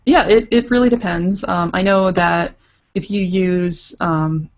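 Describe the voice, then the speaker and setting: Low, creaky-voiced woman explaining casually. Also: steady tone, measured pace, muffled recording. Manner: hesitant, stop-and-start phrasing. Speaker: guest, visual novel creator. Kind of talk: casual explanatory interview talk.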